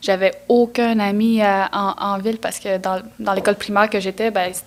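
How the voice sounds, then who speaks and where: A woman's voice: medium pitch, little pitch movement, crowd noise. A young woman from Quebec whose first language is Atikamekw, telling stories as a podcast guest with a Quebec French accent and many hesitations. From Atikamekw community of Manawan, Quebec. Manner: halting, with frequent hesitations and restarts. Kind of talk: casual conversational storytelling.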